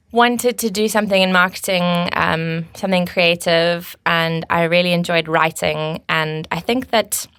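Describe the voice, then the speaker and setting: A woman's voice: lowish voice, pushed and bright, clear, resonant tone, no echo, clean studio sound. A South African woman in her thirties co-hosting a casual podcast, fluent and chatty, with frequent ums and small restarts. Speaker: podcast co-host, agency co-founder.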